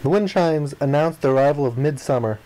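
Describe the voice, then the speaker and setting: A man's voice: fairly high pitch, fairly quick, rough recording. A man reading a visual novel's narration and dialogue aloud as a playthrough host, expressively acting out the lines. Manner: expressive, acts out the protagonist's lines.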